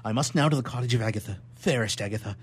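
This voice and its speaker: A man playing a tormented young gentleman scientist, imperious and declamatory, in radio theatre melodrama style. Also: medium pitch, rapid-fire, slightly rough, natural voice. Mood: brooding, despairing.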